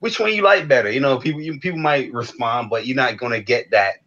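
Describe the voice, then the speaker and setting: A man in casual gaming chat, talking in a laid-back way with relaxed slang. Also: medium pitch, fast. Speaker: podcast guest. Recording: dull lo-fi call audio.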